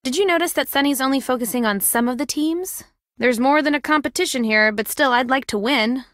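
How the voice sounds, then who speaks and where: Mystery game casting: friendly, keen young female sidekick, lightly high voice, dry studio sound. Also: a little fast, fairly bright tone, dry recording. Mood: eager. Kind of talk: scripted video game voice acting.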